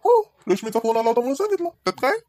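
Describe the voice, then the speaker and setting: A man's voice: extremely high pitch, very animated, very fast, a little mellow, no echo, clean studio sound. A man with a Vidarbha Marathi accent playing a needling young husband in a comedy cartoon, chatty and mock-reproachful. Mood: casual, questioning. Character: teasing, needling young husband at his in-laws.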